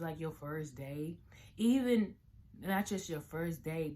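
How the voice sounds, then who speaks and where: Hoarse low-voiced woman, rambling vlog. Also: a little hiss or hum. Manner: rambling, many restarts and fillers, drifts into near-whispers. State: hoarse morning voice. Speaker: vlogger. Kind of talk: casual chat to camera.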